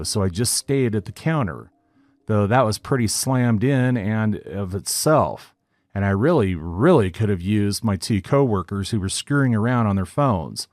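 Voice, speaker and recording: A man narrating a written online story in a steady, even reading style. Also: fairly low pitch. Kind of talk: scripted narration of a reddit post. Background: faint background music.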